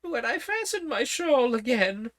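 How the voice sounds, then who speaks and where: A woman voicing a flustered, scatterbrained old queen in a storybook reading, her voice climbing into high fluttery shrieks. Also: sing-song, measured pace, fairly bright tone, full character voice. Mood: fussing.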